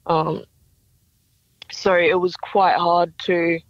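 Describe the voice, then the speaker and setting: A young woman answers interview questions slowly and hesitantly, with plenty of fillers. Her voice sits a little low. It comes through a dull remote line with little room sound. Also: level tone, dry recording. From Australia. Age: young adult. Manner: soft-spoken, hesitant, with many fillers. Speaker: singer-songwriter guest. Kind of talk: radio interview.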